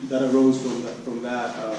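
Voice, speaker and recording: A man presenting research at an academic conference, speaking haltingly and often repeating and restarting his phrases. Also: mid-range voice, little pitch movement. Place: conference room. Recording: echoey room, distant mic, background noise.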